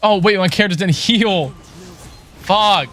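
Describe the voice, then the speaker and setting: A man's voice: high voice, a little slow, a bit bright. A man livestreaming a video game with casual, foul-mouthed, exasperated commentary. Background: video game music.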